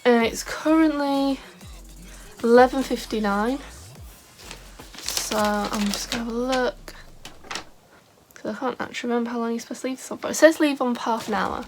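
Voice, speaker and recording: A young woman chats casually to her viewers in a voice that sits a little high. Her voice is scratchy and gravelly today, and she rambles with plenty of "like" fillers. Soft music plays faintly behind her. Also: normal speed, long pauses. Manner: chatty, rambling, many "like" fillers. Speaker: beauty vlogger. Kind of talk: casual chat to camera.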